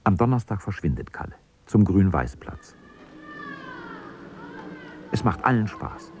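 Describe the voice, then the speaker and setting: A man's voice: sits low, sing-song, measured pace, darkish tone, slight background noise. A man reading scripted storybook narration for a radio drama.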